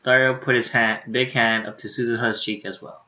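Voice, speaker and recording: A man's voice: mid-range voice, level tone, fairly quick, bright, forceful tone, highs cut off. A young man streaming, casually reading a visual novel aloud with offhand asides.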